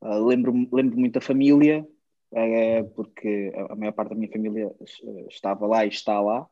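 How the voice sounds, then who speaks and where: A man's voice: fairly low pitch, level tone, dry recording. Reflective man around thirty, from Angola. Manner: reflective, halting. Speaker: podcast co-host. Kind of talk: casual chat, personal story. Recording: slightly muffled.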